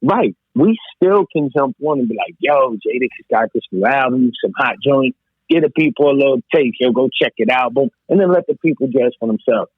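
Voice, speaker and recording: A man's voice: medium pitch, animated, a little fast, breathy edge, dry recording. A man talking as a DJ on a casual hip-hop discussion panel, emphatic and repeating words to drive his point home. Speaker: DJ and podcast panelist. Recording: thin, phone-like call audio.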